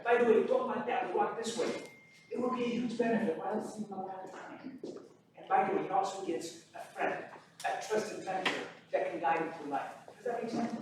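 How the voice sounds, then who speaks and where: A man gives an earnest motivational talk at a community seminar, repeating points and asking rhetorical questions. His voice is notably high for a man. It rings out in a very echoey hall, caught from a distance. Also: a little hiss or hum. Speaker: pharmacist and teacher speaking at seminar.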